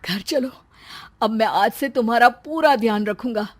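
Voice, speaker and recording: Woman playing a whiny, anxious young husband, high-pitched. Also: moderate pace, natural voice. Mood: apologetic, earnest. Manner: plaintive, dramatic complaining. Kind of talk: acted dialogue in a Hindi comic story.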